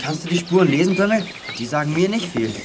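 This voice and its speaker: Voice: mid-range voice, expressive, quick pace, noisy background, lightly acted. An actor playing a precocious, level-headed child detective with a precise, bookish manner in a youth radio play. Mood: thoughtful.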